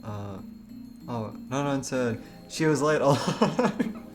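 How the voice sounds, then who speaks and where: A man's voice: medium pitch, lively intonation, slow pace, a little mellow, soft background music. A man who streams games, chatting casually and reading aloud, often giggling as he talks. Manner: giggly, breaks into laughter while talking. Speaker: game streamer. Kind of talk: casual live stream commentary with read-aloud.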